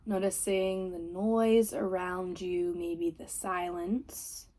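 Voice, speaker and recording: Slow, mellow woman guiding meditation. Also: fairly low pitch, flat delivery. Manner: soothing, unhurried, gentle instruction. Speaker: meditation and yoga teacher. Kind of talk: guided body scan meditation.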